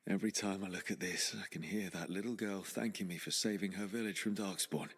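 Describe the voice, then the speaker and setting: An actor playing a reflective, earnest young male adventurer in a video game cutscene, musing aloud with quiet determination. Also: sits low, fairly even intonation, brisk, husky.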